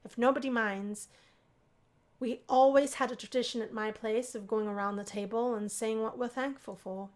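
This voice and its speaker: A woman narrating a visual novel let's play, reading the story aloud in an expressive storybook way. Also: a little high, moderate pace. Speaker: gaming video creator.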